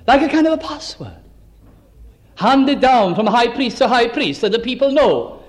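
High-voiced man teaching from scripture. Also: sing-song, moderate pace. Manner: reads old scripture then explains it in plain chatty asides. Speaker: preacher. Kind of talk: Bible teaching sermon.

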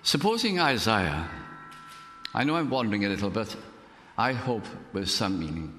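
Welsh man, Welsh first language, preacher, earnest, unhurried, lowish voice, long hall echo. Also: big pitch swings, a little hiss or hum. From Wales. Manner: unhurried, earnest, drops softly at ends of phrases. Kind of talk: sermon. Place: church.

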